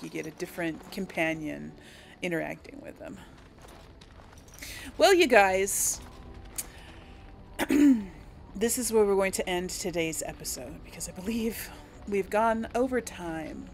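A woman's voice: lowish voice, expressive, unhurried, vocal fry, pauses often, slight background noise. A woman hosting a casual role-play let's play, chatting to her audience as she plays. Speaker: host of a role-play game series.

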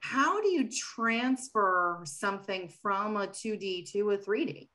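Bright, upbeat woman moderating a panel. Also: mid-range voice, lively intonation, a little slow. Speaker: museum host and moderator. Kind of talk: moderating a video call panel. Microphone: video call webcam.

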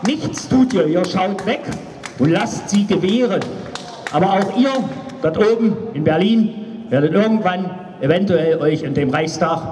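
A man in his fifties giving an emphatic, declamatory political speech at a rally, partly read from notes, over a loudspeaker outdoors. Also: sits high, expressive, measured pace, slight background noise. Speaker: speaker at a political street rally. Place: outdoors on a cobbled town square at night. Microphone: handheld microphone through loudspeaker, recorded by a far camera. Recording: echoey outdoor PA, far from camera.

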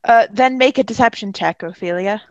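A woman's voice: medium pitch, a little fast, background noise. A woman acting as game master, narrating an improvised tabletop story in a casual style.

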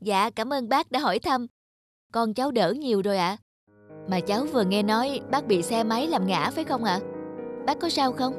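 A woman's voice: on the high side, expressive, normal speed, music in the background, natural voice. A woman playing a polite, anxious young mother from next door in a cartoon, deferential and hesitant. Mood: grateful. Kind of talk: animated cartoon acting.

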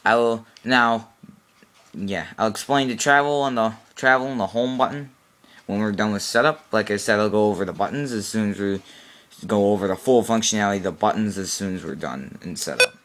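A man's voice: fairly low pitch, fairly quick, a bit bright. A man giving an informal, unscripted how-to demonstration in a matter-of-fact, instructional way, recorded indoors on a handheld camera. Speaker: product demonstrator. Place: small cluttered room indoors.

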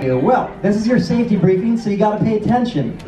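A man's voice: high voice, big pitch swings, fast talker. A man working as cruise staff, giving an informal safety briefing in a large indoor lounge, picked up by a distant camera. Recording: distant, echoey hall with music behind.

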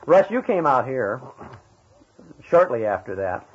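A man's voice: mid-range voice, sing-song, measured pace, slight background noise. A man interviewing guests conversationally, with hesitant, roundabout phrasing. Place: in a guest's home. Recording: dull lo-fi tape recording.

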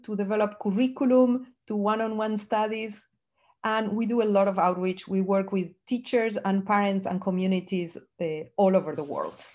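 Woman, fifties, Argentine accent, native Spanish speaker, mid-pitched voice, professor giving a conference presentation. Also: darkish tone. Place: home office, indoors. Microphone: computer on a video call.

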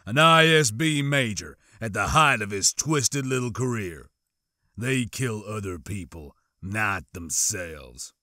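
A man voicing a gruff, cynical soldier in an audiobook, blunt, clipped and scornful. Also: on the low side, expressive, slow, pushed and bright, no room sound, clean studio sound, voice pushed down, heavily acted.

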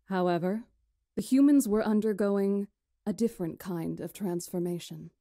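A woman playing a poised, commanding witch who tells history in a formal, deliberate way for a visual novel. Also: medium pitch, dark tone, no room sound, clean studio sound, lightly acted. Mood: solemn storytelling. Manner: formal, deliberate, imperious storytelling. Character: poised, commanding witch storyteller lecturing a council.

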